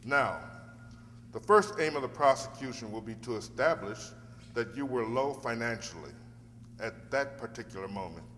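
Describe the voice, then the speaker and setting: A man playing a measured, probing defense lawyer in an old radio drama with a reverberant sound. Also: mid-range voice, expressive, fairly bright tone, slight background noise, lightly acted. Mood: grave, probing. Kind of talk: 1940s radio drama acting.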